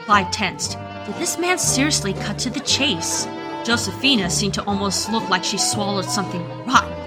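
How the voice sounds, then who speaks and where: A woman's voice: mid-range voice, expressive, moderate pace. A woman narrator reading a scripted dramatic story for a radio play. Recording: loud music under the voice. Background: loud music.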